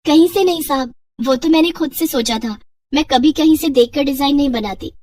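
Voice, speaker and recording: A woman's voice: sits high, fairly quick, darkish tone, dry recording, far from the mic, close to an everyday voice. A woman voicing a humble, earnest young wife in a story, polite and eager.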